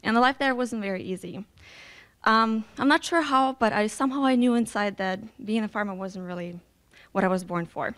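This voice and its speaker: A woman's voice: medium pitch, fairly quick, a bit bright. A young woman from western Ukraine, giving a prepared personal speech as a guest, earnest and a little nervous. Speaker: guest speaker.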